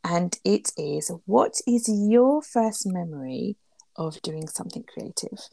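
A woman co-host chats and asks questions with a lively, swooping delivery. Her voice has a gravelly fry to it, and the recording is dry. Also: on the low side, slow pace, no room sound. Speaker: podcast co-host. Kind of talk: casual friendly chat.

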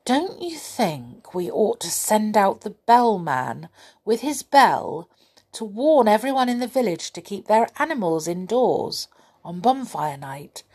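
A woman voicing Cheery One, an eager little villager from a storybook, with bright excited speech. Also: mid-range voice, a little slow, slightly rough, voice pushed up.

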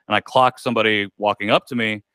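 A man's voice: fairly low pitch, fairly even intonation, quick pace, bright, forceful tone, clear, resonant tone, no echo. A man, a podcast guest telling a candid personal story in a reflective way, with many likes and ums. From United States.

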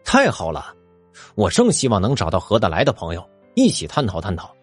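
An earnest middle-aged detective in an audio drama. His voice is low and slightly rough, and it swings expressively as he speaks like a devoted mystery buff. Music plays underneath. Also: huge pitch swings, brisk, full character voice. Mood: eager. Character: Japanese police detective husband, avid mystery-novel fan, earnest. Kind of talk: multi-cast audio drama acting.

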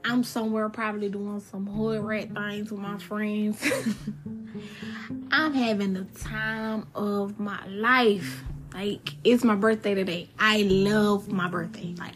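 A thirty-year-old woman hosting her own podcast, talking casually and emphatically and repeating her points for effect. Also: medium pitch. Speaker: podcast host. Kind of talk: casual solo podcast talk.

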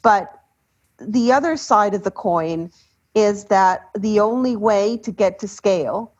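A woman from Ecuador, a development expert speaking in a measured, deliberate way on a panel. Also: medium pitch. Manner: measured, deliberate, trailing off softly. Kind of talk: panel discussion. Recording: dull lo-fi sound.

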